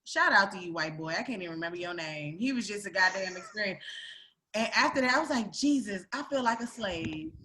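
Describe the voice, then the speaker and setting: A woman born in Greece chatting casually on a podcast, animated, laughing often and swearing freely. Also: mid-range voice, brisk, a little hiss or hum.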